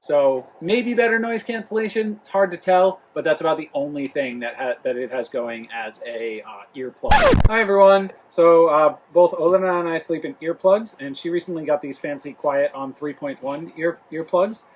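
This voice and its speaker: A man's voice: on the high side, normal speed, hardly any pauses, slight background noise, rough recording. A young man from Rhode Island, hosting a casual home product review vlog, talking loosely with lots of fillers and restarts. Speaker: travel vlog host. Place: home, living room near the kitchen.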